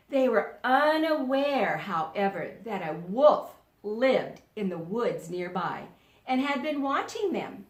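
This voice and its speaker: A woman teacher reads a story aloud for children and quizzes them as she goes. Her voice is high and expressive, taken at a slow pace, with the echo of a room around it. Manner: expressive storytelling, questioning listeners. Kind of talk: children's read-aloud lesson with questions. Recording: roomy, echoey sound.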